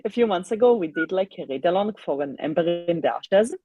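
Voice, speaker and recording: Woman, live stream co-host, mellow tone, rough-edged clarity, chatty. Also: fairly low pitch, lively intonation, brisk, no room sound, lo-fi sound. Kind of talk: casual live chat.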